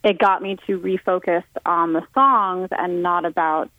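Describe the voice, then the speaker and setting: A woman's voice: fairly low pitch, steady tone, unhurried, no room sound. A woman singer talking casually in an interview, chatty with frequent ums and restarts. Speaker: guest singer. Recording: tinny phone-line audio.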